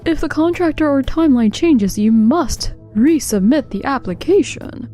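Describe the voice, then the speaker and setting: Strict office bureaucrat for manga dub, woman actor: soft-edged, fairly high voice, curt and officious. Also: animated, moderate pace, faint music behind. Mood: dismissive. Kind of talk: anime-style manga dub acting.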